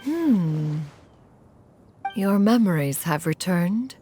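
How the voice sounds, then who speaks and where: An actress voicing a dignified, aloof immortal bird spirit who speaks in a formal, archaic, stately way in a game. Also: female voice, lowish voice, expressive, very slow, warm tone, faint music behind. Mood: measured, compassionate. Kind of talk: scripted game story dialogue.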